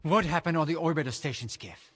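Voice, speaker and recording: A man's voice: fairly high pitch, fairly quick, long reverb tail, background noise, close to an everyday voice. An actor playing an agitated, defiant man in a video game cutscene. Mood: urgent, insistent.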